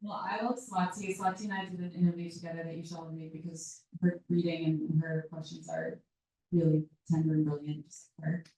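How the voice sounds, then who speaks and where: A woman's voice: fairly low pitch, flat delivery, a little fast, dark tone. A woman author talking at a live book event, reflective and searching for words as she answers. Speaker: author in conversation. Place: event room with audience. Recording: roomy hall picked up from far off.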